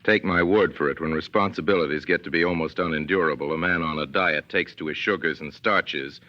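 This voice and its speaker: Deep, resounding radio narrator, male. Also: fairly quick. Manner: conversational, literate storytelling. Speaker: narrator and host. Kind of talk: wry scripted narration, 1940s radio. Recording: muffled 1940s radio broadcast recording.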